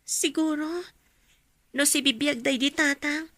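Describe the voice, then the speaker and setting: An actress playing a gentle, dutiful young student daughter in an Ilocano radio drama. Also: female voice, high voice, fairly even intonation, measured pace, no echo. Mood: earnest, concerned.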